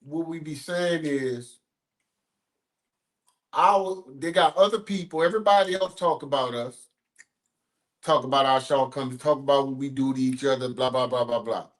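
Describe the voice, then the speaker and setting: A man's voice: medium pitch, moderate pace, pauses often. A man co-hosting a casual podcast, arguing his point and checking if others follow. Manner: argues his point, keeps checking "you see what I'm saying". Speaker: podcast co-host.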